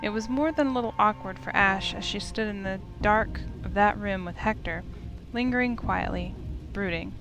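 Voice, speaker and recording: A woman's voice: mid-range voice, moderate pace, music in the background, rough recording. A woman narrating a visual novel playthrough, reading the story aloud in a storytelling manner.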